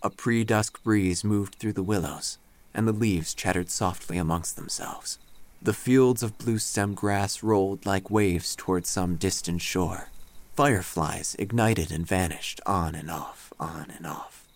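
A man playing a wry, melancholy small-town obituary writer, narrating an audio drama in measured, formal phrasing. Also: low voice.

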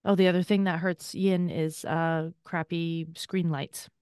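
A woman's voice: lowish voice, little pitch movement, measured pace, darkish tone, clear, resonant tone, dry recording, studio quality. A woman in her forties, a podcast co-host and Chinese medicine practitioner, explaining in an enthusiastic, chatty way. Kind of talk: conversational explanation.

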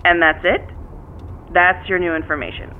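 A woman's voice: fairly low pitch, steady tone, normal speed. A curt, skeptical female police detective in an audio drama. Her voice comes thin and narrow through a phone line with some noise behind it. She speaks dryly and keeps things businesslike. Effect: telephone filter. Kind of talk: naturalistic audio drama acting.

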